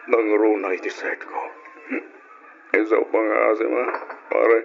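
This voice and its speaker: A man's voice: fairly low pitch, flat delivery, slow, vocal fry, soft background music. An actor playing a troubled, aggrieved husband and father in an Ilocano radio drama. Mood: anguished, bitter. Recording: dull, noisy old radio recording.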